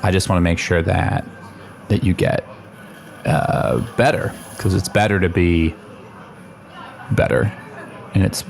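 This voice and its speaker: An actor playing an awkward, concerned male coworker in improvised audio comedy, speaking haltingly and tentatively as he hedges diplomatically. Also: low voice, steady tone, gravelly, slight background noise. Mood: awkward, careful.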